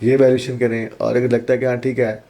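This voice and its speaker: A man's voice: medium pitch, little pitch movement, quick pace, warm tone. A man from Pakistan, an accounting teacher, answering interview questions in an earnest, explanatory way and mixing in English phrases.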